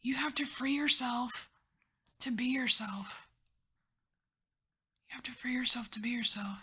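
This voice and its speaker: Woman tarot reader, whispers throughout, high and airy, rambling and encouraging. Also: a little slow, pushed and bright. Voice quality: whispering, breathy.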